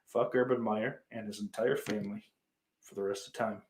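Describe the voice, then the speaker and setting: A man's voice: on the low side, steady tone, normal speed, slight background noise, rough recording. A man hosting a podcast, chatting casually and answering listener comments in a blunt, profane way. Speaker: podcast host.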